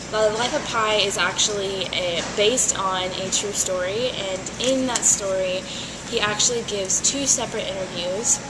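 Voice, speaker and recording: A woman acting as an author in a school mock interview, talking in a halting way with many fillers. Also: mid-range voice. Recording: loud noisy amateur recording.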